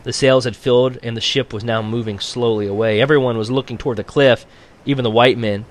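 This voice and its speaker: A man reading a novel aloud as a teacher would for his class, in an expressive audiobook style. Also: mid-range voice, brisk.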